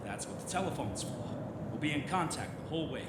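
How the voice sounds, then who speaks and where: A man's voice: on the high side, lively intonation, fairly quick, very noisy, close to an everyday voice. A man playing a headstrong, intense occult explorer in an old-time radio horror drama, commanding and theatrical. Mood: confident, condescending. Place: theatre stage in live performance. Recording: roomy live stage, loud music underneath. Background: loud music.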